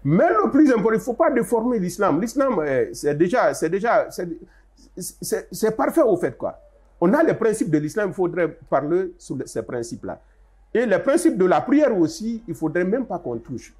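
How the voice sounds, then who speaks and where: A man's voice: sits high, sing-song, normal speed, darkish tone, breathy edge. An older man, a translator, answering interview questions in an emphatic, explanatory way, with stressed rises and frequent restarts. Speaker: guest, Arabic translator.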